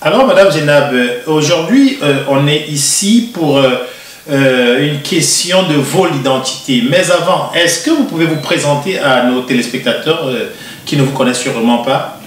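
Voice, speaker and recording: A man hosting a TV interview show, speaking in a measured way with frequent hesitations. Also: a little high, animated, slight background noise. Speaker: TV show host. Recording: roomy echo, distant mic.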